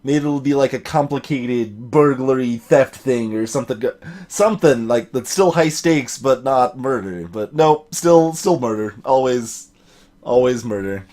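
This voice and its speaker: A man's voice: mid-range voice, unhurried, darkish tone. A man giving casual let's play commentary, rambling and repeating himself in exasperation.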